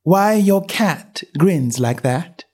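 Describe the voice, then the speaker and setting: Young girl, polite and earnest, quick to get exasperated, read by a man. Raised pitch, husky. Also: expressive, darkish tone, heavily acted.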